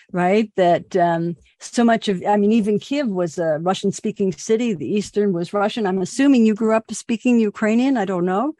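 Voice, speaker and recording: A woman interviewer asks her guest questions in an easy conversational way, hedging her assumptions as she goes. Her voice sits at a middle pitch, and the recording is dry and close with little room sound. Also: a little mellow, no room sound.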